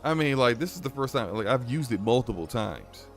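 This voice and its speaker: A man with a deep voice chatting casually on a live game stream, flustered as he talks himself through tech trouble. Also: expressive, a little fast, soft background music.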